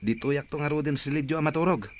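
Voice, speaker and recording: A man's voice: on the high side, fast, slight background noise, lightly acted. A man acting a sly, hesitant young grandson who wheedles and cajoles in a radio drama. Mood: wheedling.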